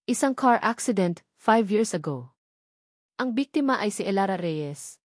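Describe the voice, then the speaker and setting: A woman's voice: medium pitch, expressive, measured pace, no echo. Story role: grave, controlled Filipino tycoon haunted by suspicion, read by a woman, dry sound. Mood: restrained pleading. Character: serious, insistent wealthy man haunted by suspicion.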